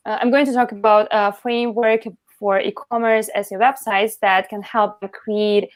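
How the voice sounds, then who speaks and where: A woman in her thirties speaking as a guest on a video call, eager and slightly halting as she explains. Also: medium pitch, flat delivery, no echo. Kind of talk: casual video call chat. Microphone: earphone mic on video call.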